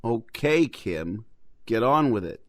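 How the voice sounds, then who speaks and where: A folksy working-man father as a male actor plays him in an audio drama, bantering and bossy. His voice is mid-pitched and recorded dry and close. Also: lively intonation, takes it slowly, a bit bright, no room sound. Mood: stern, skeptical. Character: folksy teasing trucker husband and domineering father.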